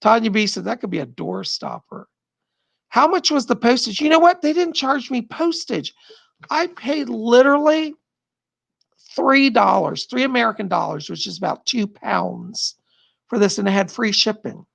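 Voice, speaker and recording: A man's voice: extremely high pitch, expressive, moderate pace, gravelly, fairly bright tone, no echo. A middle-aged psychic host with a strained, tired voice, chatting casually from home as he reads out viewer comments, rambling and laughing often. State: strained, losing his voice. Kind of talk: casual live-stream chat reading viewer comments.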